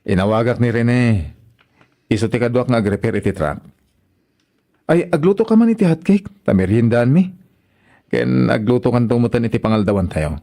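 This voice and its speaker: A man playing an easygoing, hardworking truck driver and husband in a radio drama, with expressive, drawled interjections. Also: mid-range voice, normal speed, gravelly, long pauses.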